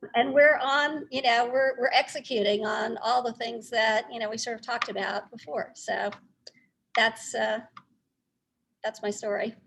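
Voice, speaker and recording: A woman's voice: on the high side, fairly even intonation, gravelly, fairly bright tone, a little hiss or hum, poor audio. Middle-aged woman with a Texas accent. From Texas, United States. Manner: halting, conversational. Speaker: newspaper publisher, guest speaker. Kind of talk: informal talk recounting a career.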